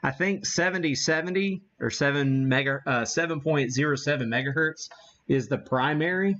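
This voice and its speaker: A man hosting a casual, unscripted live radio-hobby demo, thinking aloud in a halting way as he works the equipment. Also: fairly high pitch, a bit bright, dull audio. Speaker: livestream host, amateur radio operator.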